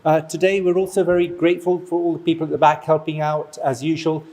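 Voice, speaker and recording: A man with a quiet voice, giving thanks and announcements to a gathering. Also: on the high side, level tone, quick pace. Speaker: event organizer giving thanks. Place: community hall.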